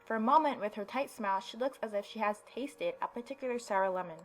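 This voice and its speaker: A woman let's player narrating a visual novel and adding playful, expressive casual commentary. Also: on the high side, fast talker, soft background music. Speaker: let's player reading and reacting.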